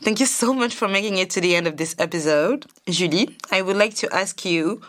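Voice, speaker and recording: A woman's voice: medium pitch, a little fast, fairly bright tone. Chatty woman hosting a podcast. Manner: chatty, laughs and jokes. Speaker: podcast host. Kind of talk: casual podcast conversation.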